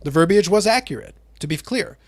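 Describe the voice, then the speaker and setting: A man who speaks a little higher than most, hosting a casual hobby chat. He explains with animation and often repeats a phrase as he gets going. The sound is dry and close. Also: expressive, a little fast, no room sound. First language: not English. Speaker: podcast host.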